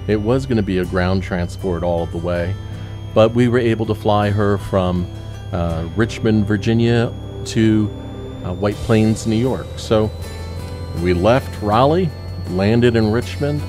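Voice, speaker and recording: A man's voice: lowish voice, measured pace. A man gives a casual, conversational voice-over narration of a flight video, telling it like a story. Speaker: narrator, pilot of volunteer animal rescue flights. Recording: music under the voice. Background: background music.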